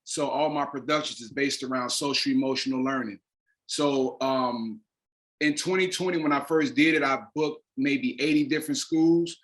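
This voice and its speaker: A man's voice: mid-range voice, normal speed, fairly bright tone, poor audio. A man speaking as a producer and interview guest, explaining his work in an earnest, emphatic, conversational way.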